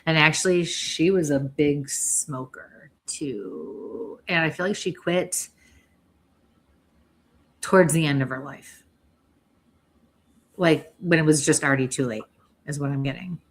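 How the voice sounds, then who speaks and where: A woman hosting a live-streamed spirit reading as a psychic medium, chatty and often clearing an irritated throat. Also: low-pitched, lively intonation, a little slow, pauses often. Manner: chatty, keeps clearing her throat and coughing.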